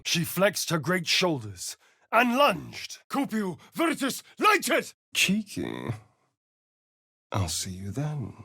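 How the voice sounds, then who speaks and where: A British actor playing a sly, vain vampire spawn rogue in a video game, with an arch, theatrical, drawling delivery. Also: male voice, fairly high pitch, vocal fry, fairly bright tone, breathy edge, dry recording, clean studio sound, heavily acted. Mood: intense incanting, then arch, teasing. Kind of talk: dramatic video game voice acting.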